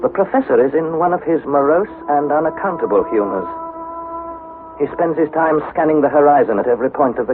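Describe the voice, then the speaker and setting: An actor playing an earnest, curious young nephew who tells of an expedition in a vintage radio drama, with a questioning, storytelling manner. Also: male voice, mid-range voice, close to an everyday voice. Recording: tinny, band-limited vintage radio, faint noise.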